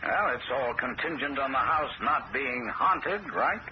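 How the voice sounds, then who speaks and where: An actor playing a smooth, scheming young man in a 1970s radio drama, sly and insistent. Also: mid-range voice, animated, measured pace, noisy background, lightly acted. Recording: dull old radio broadcast.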